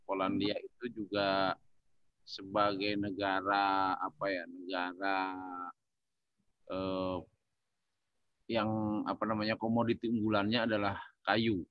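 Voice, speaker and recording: A man talking casually in a discussion, haltingly searching for words as he thinks aloud. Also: low voice, completely flat delivery, dry recording, highs cut off. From Indonesia. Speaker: panelist.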